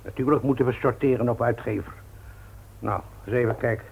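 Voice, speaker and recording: A man's voice: medium pitch, steady tone, fairly quick, vocal fry, darkish tone, slight background noise, natural voice. An actor playing a stubborn, fussy shopkeeper in his seventies in a Dutch radio play, hesitant yet bossy. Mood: irritated. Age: 70s. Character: stubborn, fussy old bookshop owner, an uncle.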